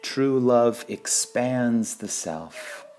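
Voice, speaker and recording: A man reading spiritual sayings in a measured, deliberate devotional style, pausing between phrases. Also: mid-range voice, lively intonation, a little mellow, a little hiss or hum. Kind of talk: slow devotional quote reading.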